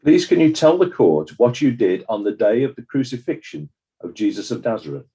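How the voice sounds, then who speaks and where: A man's voice: medium pitch, sing-song, fairly quick, mellow. A man playing a formal, polite examiner putting direct questions to witnesses in a dramatized inquest. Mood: formal, inquiring.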